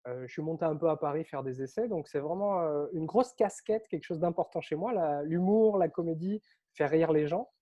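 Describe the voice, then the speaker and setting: Bright-voiced chatty French man, mellow tone. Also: fairly high pitch, a little fast, lo-fi sound. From Réunion Island. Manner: lively, chatty, frequent fillers. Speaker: interview guest, author and coach. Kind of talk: casual interview storytelling.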